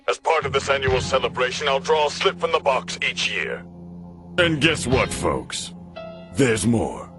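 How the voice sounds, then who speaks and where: Anime villain: theatrical demon king, mock-courteous and sinister, animated but unhurried, over prominent music. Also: mid-range voice. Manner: grandiose, mock-courteous speechmaking with sinister relish. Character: evil gloating demon king tyrant, theatrical, male. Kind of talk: anime dub villain acting. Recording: loud music under the voice.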